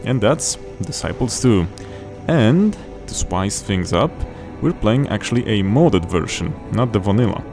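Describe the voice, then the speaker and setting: Guy talking through a strategy game, kinda low voice, keeps restarting sentences, music playing behind. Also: animated, unhurried. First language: Polish. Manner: chatty, restarts phrases while explaining. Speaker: gaming channel host. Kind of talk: casual gameplay commentary.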